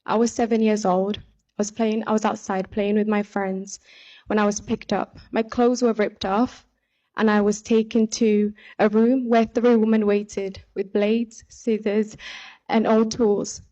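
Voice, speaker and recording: A young woman from Somalia giving an earnest, measured speech as a pageant contestant from a podium microphone in a large hall. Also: mid-range voice, little pitch movement, dark tone, dull audio. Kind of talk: prepared stage speech. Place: large indoor hall on stage. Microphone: podium microphone on stand.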